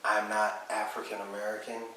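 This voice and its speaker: A man's voice: lowish voice, completely flat delivery, fairly quick, a crowd in the background. A man guest in a discussion, speaking earnestly and with emphasis. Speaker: panel guest. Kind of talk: casual discussion. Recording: echoey room, mic far off, clear noise.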